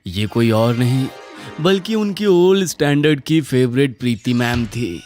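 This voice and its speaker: Very low-voiced woman narrating a children's story. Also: expressive, unhurried, a little mellow, faint music behind.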